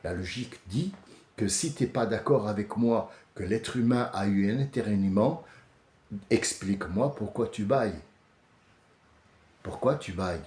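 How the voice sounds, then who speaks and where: A French man hosting a casual monologue, animated and often restarting, rising to heated outbursts. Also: fairly low pitch, measured pace, long pauses. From France. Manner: many restarts and hesitations. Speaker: host. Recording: echoey room.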